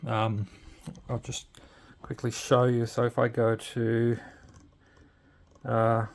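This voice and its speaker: A man giving a casual, unscripted hands-on walkthrough, haltingly thinking aloud as he points things out. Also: medium pitch, monotone, gravelly. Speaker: presenter showing his radio modifications. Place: indoors at an electronics workbench.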